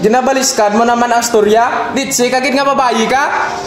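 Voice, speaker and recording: A man's voice: very high voice, steady tone, brisk. A man playing a jealous, accusing, abusive young husband in an amateur radio drama, with a Western Visayan accent. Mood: angry, accusing. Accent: Western Visayan Philippine. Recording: roomy echoing recording, faint music.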